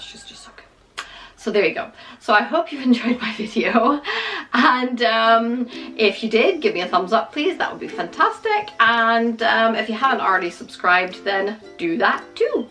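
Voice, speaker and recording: Chatty middle-aged American woman with bright tone. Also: medium pitch, low-quality recording. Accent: American, with some Scottish. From United States. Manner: chatty, self-deprecating, many ums. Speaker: vlogger. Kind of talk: casual talk to camera.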